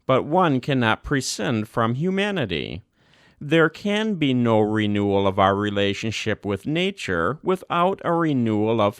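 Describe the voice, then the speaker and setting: Slow, expressive male narrator. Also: mid-range voice, smooth, no room sound, clean studio sound. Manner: measured, deliberate phrasing with emphatic pauses. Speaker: volunteer audiobook narrator. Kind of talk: scripted narration of a formal religious text.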